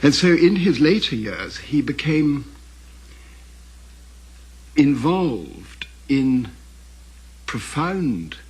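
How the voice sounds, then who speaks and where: Older guy, really husky raspy voice, talks slow n careful, scholarly, stops and restarts while reflecting. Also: medium pitch, sing-song. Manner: halting, deliberate, scholarly, with repeated self-corrections. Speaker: interviewee, author's son recalling his father. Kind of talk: reflective interview commentary.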